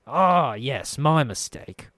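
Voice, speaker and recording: A man voicing a menacing older man on a crutch, quiet, silky and dangerous. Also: mid-range voice, animated, slow pace, no echo, studio quality, voice pushed up.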